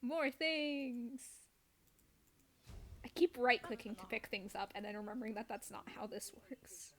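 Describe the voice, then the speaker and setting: High-pitched woman streaming a game. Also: fairly even intonation, a little slow, fairly bright tone. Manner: playful, self-deprecating. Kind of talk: casual live gameplay chat.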